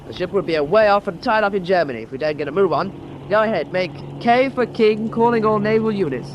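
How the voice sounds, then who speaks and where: An actor playing an alert, businesslike air force pilot in a wartime radio drama, matter-of-fact and directing. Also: male voice, high voice, lively intonation, fast, background noise. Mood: urgent, businesslike. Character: alert, businesslike male RAF patrol pilot. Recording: loud vehicle engine drone behind voice.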